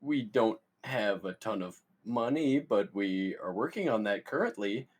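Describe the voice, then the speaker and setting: A man playing an awkward, excitable young stoner, cocky and bumbling, in a comedy script read. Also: fairly low pitch, measured pace, slightly rough. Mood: awkward wheedling. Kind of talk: comedy screenplay table read.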